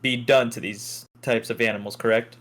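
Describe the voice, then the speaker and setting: A man's voice: mid-range voice, fairly even intonation, normal speed, a bit bright. A man chatting casually on a podcast and telling everyday stories, with some casual swearing. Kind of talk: casual podcast chat and storytelling.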